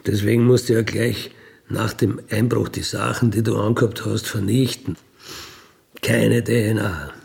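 A middle-aged man with a Bavarian accent tells first-person anecdotes casually, rambling along with colloquial tag questions and throat clearing. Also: on the low side, fairly even intonation, a little slow, darkish tone. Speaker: storyteller, self-described burglar.

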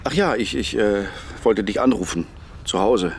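A man's voice: on the low side, normal speed, slight background noise, close to an everyday voice. An actor playing a flustered, evasive father in a radio play, hesitant and faltering. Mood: nervous, evasive. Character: flustered, evasive father hiding his snooping.

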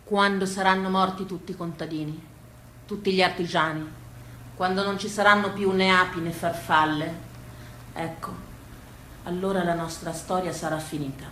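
A woman's voice: on the low side, steady tone, normal speed, a bit bright. A woman telling stories as a theatrical monologue from the stage. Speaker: stage storyteller. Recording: echoey room with faint background noise.

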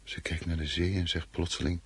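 Moody husband in a radio play, super low, croaky, remembering a dream out loud. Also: male voice, level tone, a little fast, exaggerated voice. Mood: wistful. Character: brooding husband recounting a dream of his fearful wife. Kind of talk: radio drama monologue.

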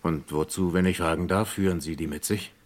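An actor playing a polite but unyielding police inspector in a vintage radio crime drama, formal and probing. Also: male voice, deep voice, steady tone, a little fast, natural voice. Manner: formal, probing, coolly courteous.

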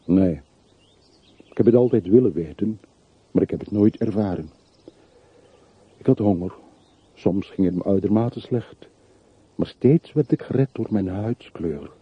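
A man's voice: on the low side, a little slow, long pauses, slightly rough. An actor in a radio drama playing a brooding, bitter man from a rich family who mourns his dead in melancholy monologue. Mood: intense, bitter. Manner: melancholy, reflective, literary monologue. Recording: muffled, narrow-band old radio play recording.

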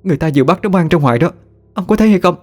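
A man's voice: high-pitched, animated, very fast, faint music behind, pitched above the performer's own voice. A man voicing a grieving older mother who wails and pleads for her dead son. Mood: distraught. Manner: wailing, sobbing pleas.

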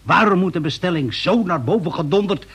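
An actor playing an eccentric, opinionated inventor in a radio play, chatty and indignant, with emphatic rhetorical delivery. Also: male voice, high-pitched, a little fast, a bit bright, husky, heavily acted. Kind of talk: Dutch radio play dialogue.

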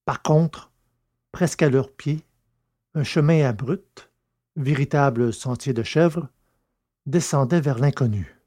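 A man reading a science fiction story aloud in a steady, measured narration. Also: medium pitch. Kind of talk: scripted audiobook narration.

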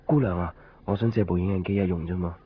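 An actor playing a brooding, lovelorn man narrating a radio drama in Hong Kong Cantonese, in a deliberate, introspective way. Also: sits low, steady tone, natural voice. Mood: casual, hesitant. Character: brooding, lovelorn man searching a night hospital. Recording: dull lo-fi radio recording, faint music.

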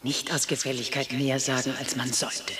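An actress playing a stern, moralising bourgeois housewife, declaiming in a measured way in a radio play. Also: female voice, rumbling low, flat delivery, fairly bright tone, roomy sound. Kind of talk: stylised radio play collage delivery.

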